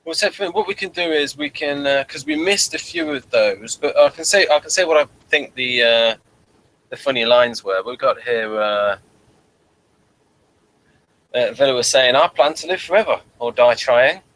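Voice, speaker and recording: A man hosting a casual review discussion, speaking in a halting, rambling way and often repeating his phrases. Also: mid-range voice, lively intonation, fairly bright tone, low-quality recording. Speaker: host of a TV episode review.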